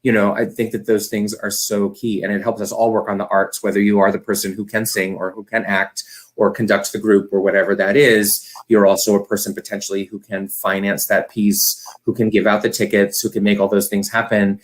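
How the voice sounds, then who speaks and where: A man's voice: on the low side, level tone, a little fast. A man speaking conversationally as an arts director and guest, earnest and effusive, in long wordy sentences. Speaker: theatre company director, guest. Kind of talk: conversational talk about running an arts organisation.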